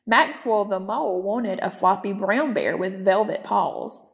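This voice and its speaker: A woman's voice: mid-range voice, measured pace, muffled recording. A woman reading a children's picture book aloud as a storytime narrator. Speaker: storytime reader.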